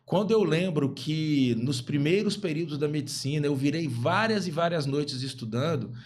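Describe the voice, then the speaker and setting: A man's voice: a little high, expressive, moderate pace, darkish tone. Emphatic man in his fifties. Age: 50s. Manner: emphatic, repeats key phrases. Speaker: guest physician. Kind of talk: podcast interview talk.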